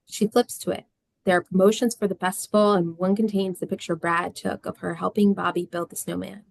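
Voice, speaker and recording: Creaky-voiced woman reading stage directions. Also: fairly low pitch, level tone, brisk. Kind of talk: scripted narration.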